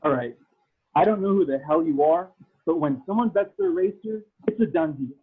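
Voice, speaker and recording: A man's voice: on the high side, normal speed, slightly rough, narrow, tinny audio. A man playing an arrogant, condescending pod-racing gang boss in a table read over a thin video-call connection. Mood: dismissive. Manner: condescending, taunting. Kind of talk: table read of fan fiction.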